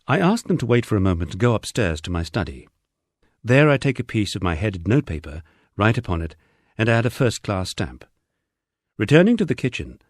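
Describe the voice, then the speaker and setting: A middle-aged British man reading a scripted first-person memoir as an audiobook, in a measured storytelling way with dry understatement. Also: fairly low pitch, no room sound. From United Kingdom. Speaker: audiobook narrator.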